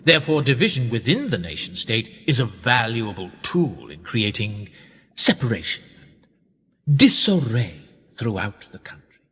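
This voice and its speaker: Male actor as pompous, manipulative British establishment man for radio drama; measured condescension, very lively pitch, reverberant sound. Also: slightly rough, exaggerated voice. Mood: coolly condescending. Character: smug, wealthy upper-class male power broker, condescending and manipulative. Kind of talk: radio play acting. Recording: reverberant hall-like echo.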